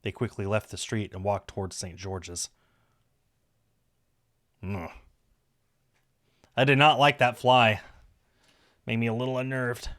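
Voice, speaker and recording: A man's voice: lowish voice, fairly even intonation, slow pace, pauses often, a bit bright. A man reading a visual novel aloud on a stream, narrating the story with casual asides.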